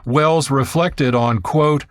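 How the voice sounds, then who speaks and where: Dry, mid-pitched male radio host. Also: slow, no echo. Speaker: radio show host and narrator. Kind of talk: scripted narration. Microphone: close studio mic.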